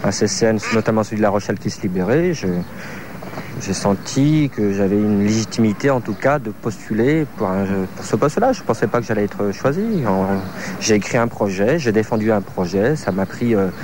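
A man in his thirties, a choreographer from France, answering interview questions in a halting way, searching for words with many fillers. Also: fairly low pitch, animated, noisy background. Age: 30s.

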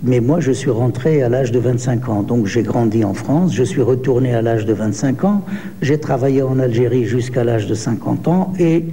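A man in his seventies, born in Algeria, answering interview questions slowly with many hesitations. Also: mid-range voice, dark tone, long reverb tail. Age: 70s. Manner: slow, hesitant, many pauses and fillers. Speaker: poet.

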